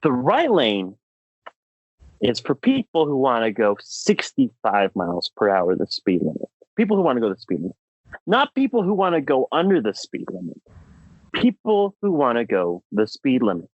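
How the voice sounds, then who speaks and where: A man's voice: medium pitch, wildly swooping pitch, moderate pace, dry recording. Young American man, podcast co-host, emphatic and exasperated comic ranter, swooping intonation, dry dull recording. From United States. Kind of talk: comedic rant on a podcast.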